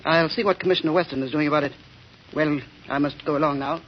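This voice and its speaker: A man's voice: a little high, fairly even intonation, quick pace, natural voice. A man playing a pompous, shifty newspaper publisher with a formal, blustering manner in an old radio drama. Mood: smooth, officious. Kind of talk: 1930s radio drama acting. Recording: muffled, hissy old radio recording.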